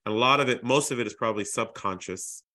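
Bright-voiced man hosting a podcast. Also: medium pitch. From Boston, USA. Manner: halting, repeats words while searching for phrasing. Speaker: podcast host. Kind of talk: casual interview chat.

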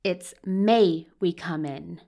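A stern male professor, acted by a woman in an audio drama, speaking slowly in a lowish register. He is curt and pedantic, placing each word with deliberation. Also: vocal fry, dark tone. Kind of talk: audio fiction acting.

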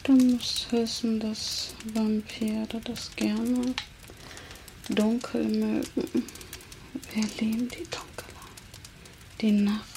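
A woman voicing a teasing, menacing female vampire captor in a soft, slow ASMR whisper. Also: on the high side, level tone, gravelly. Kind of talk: ASMR roleplay. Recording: noisy hiss under close whisper.

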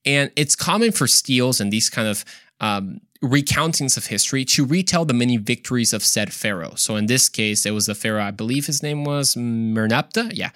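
Male podcast host, mid-range pitch, casual storyteller with a joking, playful streak, recorded dry. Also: fairly bright tone, dry recording. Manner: playful, joking, with exclaimed asides and mimicked dialogue. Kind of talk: casual, conversational storytelling to listeners.

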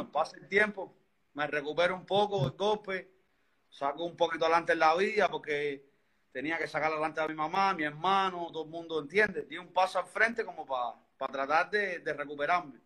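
Cuban man, 30s, high bright voice, earnest and rambling guest. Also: moderate pace, poor audio. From Cuba. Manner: earnest, rambling, many restarts. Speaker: interview guest. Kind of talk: personal story in conversation.

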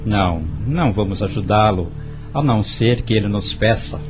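An actor playing a firm, protective husband in a radio drama, speaking deliberately and solemnly. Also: male voice, lowish voice, faint music behind, natural voice. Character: Celso, firm, protective husband advising his wife.

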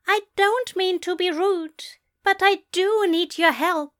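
A woman voicing a polite, bewildered British gentleman in precise, enunciated tones for an audio story. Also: extremely high pitch, slow pace, pitched above the performer's own voice, exaggerated voice. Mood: polite, pleading. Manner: genteel, over-polite, fussy.

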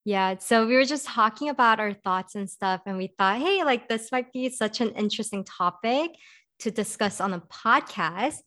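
A woman's voice: on the high side, lively intonation, moderate pace, fairly bright tone. An American woman hosting a podcast in an informal, chatty, encouraging way. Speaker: podcast host. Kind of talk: casual podcast chat.

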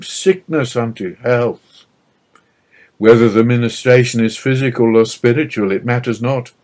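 A man reading a scripted religious narration aloud in a solemn, measured manner. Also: medium pitch, darkish tone.

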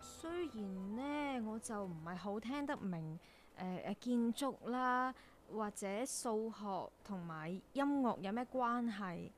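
A woman's voice: medium pitch, animated, slow, soft background music. A woman acting in a Cantonese radio drama as a perky, plucky young intern, chatty, blunt and full of exclamations. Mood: sympathetic. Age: young adult.

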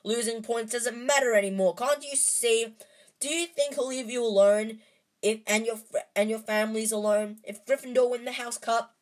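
A woman reading as a furious shouting boy wizard. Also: on the high side, normal speed, voice pushed up, lightly acted. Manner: shouting, pleading.

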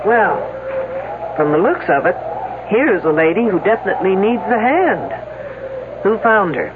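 A woman's voice: medium pitch, big pitch swings, unhurried, narrow, tinny audio. A woman playing a wry, unflappable, wisecracking lady detective in a 1970s radio drama. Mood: cool, businesslike. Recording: thin band-limited old radio, noisy.

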